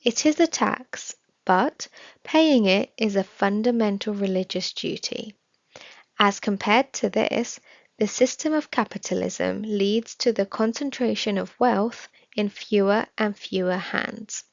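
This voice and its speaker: Woman, scripted study narration, formal, measured delivery, medium pitch, dry close sound. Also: vocal fry, dry recording. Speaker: reader of a religious study text. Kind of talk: scripted narration, reading aloud. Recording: slightly dull, dry recording.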